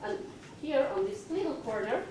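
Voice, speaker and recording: A woman's voice: high-pitched, big pitch swings. A young woman from Argentina, speaking non-natively, introducing an art exhibition with hesitant, filler-heavy speech. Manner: hesitant, many fillers, slow. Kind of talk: casual talk at an exhibition. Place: exhibition hall with people around. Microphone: far, room mic. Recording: distant voice, noisy hall ambience.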